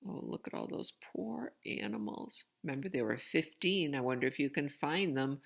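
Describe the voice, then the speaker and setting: A woman reads a children's story aloud in a low, creaky voice. Her intonation swoops expressively, and she pauses for chatty asides to young listeners. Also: lively intonation.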